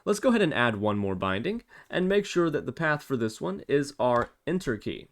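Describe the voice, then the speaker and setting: A man presenting a screencast software tutorial, explaining step by step in an informal, casual way. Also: medium pitch, very animated, moderate pace.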